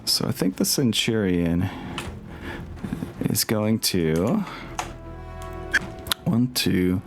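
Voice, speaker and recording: Deep-voiced, unhurried man running a game. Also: big pitch swings. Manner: thinks aloud, counting moves slowly. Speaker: game master of a tabletop campaign. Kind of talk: casual tabletop game session talk. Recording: music behind the voice.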